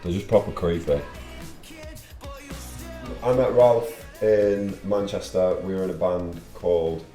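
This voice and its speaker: A man in his twenties from Poland, a band guitarist telling stories in a relaxed, casual interview. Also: deep voice, measured pace. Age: 20s. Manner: relaxed storytelling, laughs easily. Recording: echoey room with music behind.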